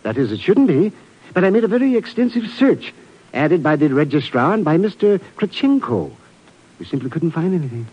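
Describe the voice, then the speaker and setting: An actor playing an absent-minded, flustered professor in a 1950s radio drama, dithering and repeating himself. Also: male voice, fairly high pitch, big pitch swings, fairly quick, slightly rough. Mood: muddled. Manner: dithering, repetitive, easily distracted. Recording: muffled old radio recording.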